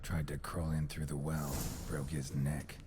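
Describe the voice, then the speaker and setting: An actor playing a gruff, laconic monster hunter in a video game, speaking in a dry, deadpan, terse way. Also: male voice, extremely low pitch, unhurried, noisy background. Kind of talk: video game character acting.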